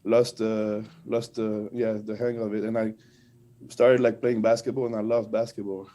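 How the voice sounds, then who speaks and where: A man's voice: on the low side, little pitch movement, moderate pace, dark tone. A man with a French accent, talking casually as an interview guest and telling stories in a conversational way. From France and French-speaking Switzerland. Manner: conversational storytelling with frequent "like".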